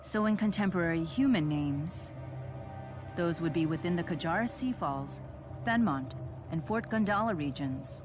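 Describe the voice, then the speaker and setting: An actress playing a composed, blunt, cool-headed woman in a fantasy adventure game, speaking in a measured, frank way. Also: lowish voice, lively intonation, natural voice. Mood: calm, dry. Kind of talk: video game cutscene voice acting. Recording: game music behind the voice.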